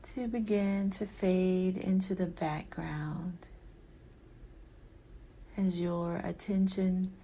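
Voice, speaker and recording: A woman leading a guided yoga nidra meditation in a soft, soothing, hushed voice that often trails into a breathy whisper. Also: lowish voice, fairly even intonation, extremely slow, pauses often, a little hiss or hum.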